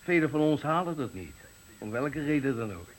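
An actor playing an embittered, eloquent factory worker of sixty-five, giving a dramatic, deliberate farewell speech in a radio play. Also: male voice, mid-range voice, animated, lightly acted, rough recording. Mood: bitter, measured. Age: 60s. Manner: oratorical, dramatic, deliberate phrasing. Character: embittered eloquent 65-year-old male factory worker at his retirement.

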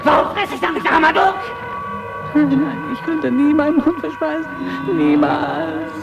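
A man's voice: very high-pitched, animated, unhurried, creaky, muffled recording, over loud music, full character voice. A man playing a lone, brooding polar adventurer in a radio drama, intense and increasingly anxious. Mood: brooding, weary. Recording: very noisy recording.

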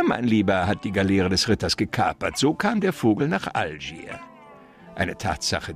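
An actor playing an effusive, wily gentleman mastermind in a German crime radio play, lecturing in florid, theatrical phrases. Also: on the low side.

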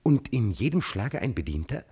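An actor playing a cunning, servile and coldly ambitious chamberlain in a classical drama, with an insinuating, theatrical delivery and a slightly husky voice. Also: male voice, medium pitch, big pitch swings, normal speed, warm tone. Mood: watchful, brooding. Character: scheming male court chamberlain, servile, cunning, cold-bloodedly ambitious. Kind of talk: German classical stage drama acting.

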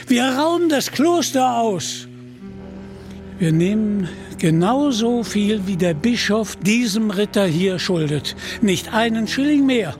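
A man's voice: sits high, lively intonation, slow, a little mellow, faint music behind, pitched above the performer's own voice. A man voicing a confident, decisive outlaw leader in an audio storybook, with a resolute manner.

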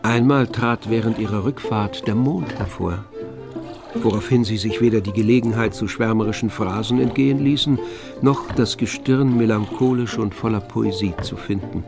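A man narrating a literary radio play in a composed, measured manner. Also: fairly low pitch, creaky, music in the background.

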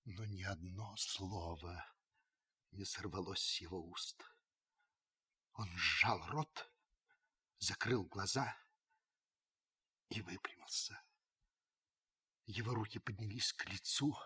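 An actor playing a solemn witness who narrates a radio drama, deliberate and intense with dramatic pauses and a husky voice. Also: male voice, lowish voice, pushed and bright, full character voice. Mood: subdued. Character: solemn male witness narrating with mounting tension.

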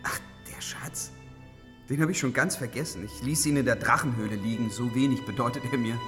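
An actor playing a bold, open-hearted young hero in a fantasy radio drama, with an earnest, heroic manner. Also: male voice, medium pitch, fairly quick, in a large hall, over music, natural voice. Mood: candid.

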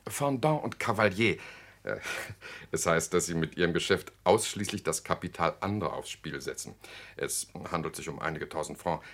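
Jovial cynical parisian schemer in a radio play, low creaky voice, sardonic and theatrical. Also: male voice, animated, moderate pace, a bit bright, full character voice. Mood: cynical, expansive. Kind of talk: radio drama acting.

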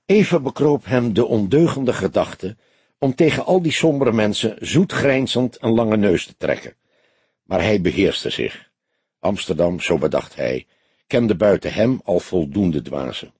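A man giving an expressive scripted narration of a detective novel. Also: on the low side, a little slow, slightly rough, no room sound. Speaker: audiobook narrator.

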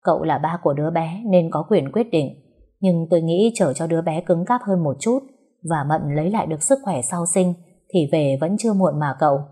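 A woman's voice: low-pitched, dark tone, slightly rough. Woman as a polite, sensible elderly nanny. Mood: respectful, calm. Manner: polite, measured, advising.

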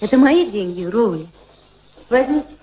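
An actress playing a cool, candid and wistful high-society woman in a radio play, composed and dryly sincere. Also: lively intonation, moderate pace, dark tone, close to an everyday voice, poor audio. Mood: firm. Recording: music playing behind the voices.

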